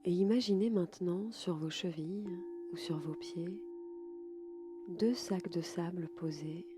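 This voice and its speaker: A woman guiding a relaxation session slowly and calmly, in a soft, breathy voice that often nears a whisper. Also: on the low side, background noise. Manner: calm, soothing, unhurried. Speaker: podcast host and yoga nidra guide. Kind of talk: slow, soft guided relaxation.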